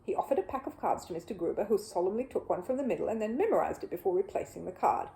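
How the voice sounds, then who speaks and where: A woman narrating a children's story as an animated audiobook reader. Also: mid-range voice, very fast, vocal fry, poor audio.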